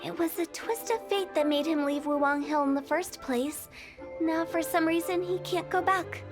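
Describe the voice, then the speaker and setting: Game role: playful, cocky young funeral director; very high voice. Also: female voice, moderate pace. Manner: teasing, self-assured. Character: mischievous young woman funeral parlor director, playful and confident. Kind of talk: video game voice acting. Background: game background music.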